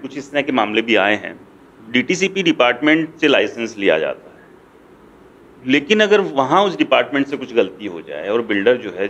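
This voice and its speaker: A man's voice: mid-range voice, a bit bright, muffled recording, slight background noise. A middle-aged man interviewing a guest in a small studio, cutting in to steer the talk. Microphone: desk mic on boom arm.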